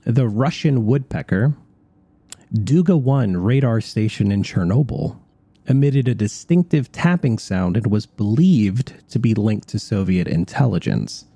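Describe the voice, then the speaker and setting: Mellow-voiced male radio host, dry studio sound. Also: fairly low pitch, lively intonation, unhurried, breathy edge, no room sound. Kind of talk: conversational radio show with part-scripted narration.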